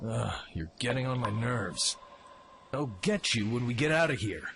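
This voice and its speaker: A man's voice: mid-range voice, lively intonation, normal speed, a bit bright, slight background noise. A man playing a cocky, self-reliant young mech pilot in a radio play, with a brash, swaggering delivery. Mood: irritated, challenging.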